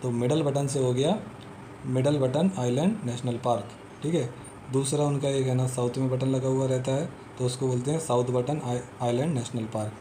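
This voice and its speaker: A man explains exam memory tricks, walking through a little story step by step and checking in with the listener again and again. His voice is warm and mellow at an ordinary pitch. A faint hiss sits under the recording. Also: steady tone, normal speed. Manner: repetitive, peppers talk with "theek hai" and "hai na". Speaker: exam coaching teacher. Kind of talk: casual explanatory lesson with mnemonic tricks.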